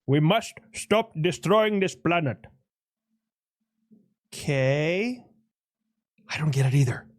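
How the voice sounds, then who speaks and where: A man's voice: a little high, expressive, slow pace, a little mellow, dry recording, clean studio sound. A man putting on an earnest Indian-accented nation figure. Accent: put-on Indian accent. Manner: earnest, speechifying, stumbles over words.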